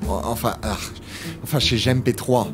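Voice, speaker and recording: A man's voice: medium pitch, steady tone, normal speed, over music, lightly acted. A man playing an exasperated, grumbling start-up employee in a comedic audio drama, hesitating and stammering as he goes. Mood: agitated.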